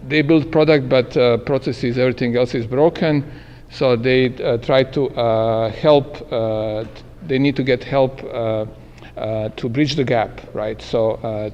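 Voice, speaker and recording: Man, panel moderator, halting, many uhs, mid-pitched voice, long room reverb. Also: darkish tone, highs cut off, slight background noise. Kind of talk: live event introduction. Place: meeting room at an event. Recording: echoey hall with long reverb.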